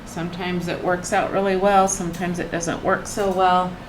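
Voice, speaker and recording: Low-voiced, slightly croaky older woman. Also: little pitch movement, normal speed. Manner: soft-spoken, thinks aloud with trailing restarts. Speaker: painting channel host. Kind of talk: casual talk while painting, faith reflection. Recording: echoey room with air-conditioner hum.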